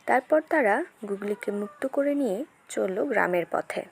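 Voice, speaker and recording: A woman's voice: mid-range voice, lively intonation, normal speed, vocal fry, slight background noise. A woman storyteller giving scripted narration of a children's story.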